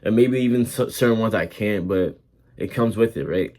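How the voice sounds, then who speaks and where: A man's voice: lowish voice, normal speed, dark tone. A man in his twenties giving casual, earnest motivational advice, repeating himself and using casual slang. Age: 20s. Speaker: vlogger giving life advice.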